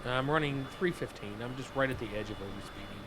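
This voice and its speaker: A man hosting a livestream, chatting casually and excitedly, often restarting his sentences. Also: mid-range voice, quick pace, background noise. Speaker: livestream host. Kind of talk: casual gaming chat.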